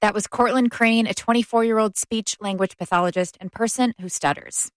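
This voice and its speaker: A woman's voice: a little high, a little fast, no room sound. An American woman hosting a public radio interview, speaking in a polished, articulate way. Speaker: radio show host.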